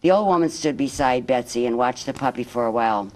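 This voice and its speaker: A woman reading a children's picture book aloud as a guest storyteller, in measured, expressive phrases. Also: extremely low pitch.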